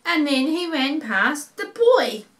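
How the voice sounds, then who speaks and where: A middle-aged woman, narrating a children's story in an animated way at home. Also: sits high, takes it slowly. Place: living room, seated in an armchair.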